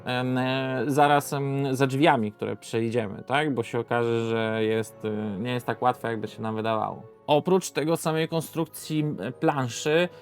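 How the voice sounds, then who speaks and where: A man's voice: mid-range voice, steady tone, measured pace, few pauses. A man presenting a board game in an informal, unscripted way, conversational, posing questions and answering them himself. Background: background music.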